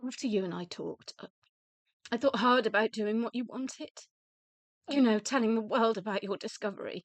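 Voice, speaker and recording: An actress in a radio drama playing a weary, emotional widow who speaks in halting, deliberate phrases. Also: female voice, a little high, dry recording, natural voice. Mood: regretful, confiding. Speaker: actor in a radio play.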